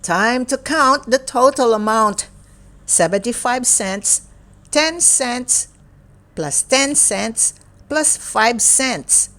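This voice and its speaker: Slow-paced woman reading a children's storybook. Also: fairly high pitch, fairly bright tone. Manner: deliberate, short phrases with playful exclamations. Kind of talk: read-aloud storytelling for young children.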